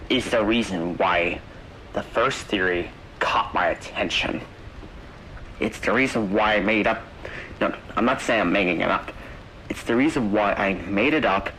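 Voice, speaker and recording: A man delivering an in-character theory monologue for a found tape, explaining earnestly in a halting, rambling way with repeats. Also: lowish voice, sharp tone, slight background noise, rough recording. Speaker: narrator of an analog horror video.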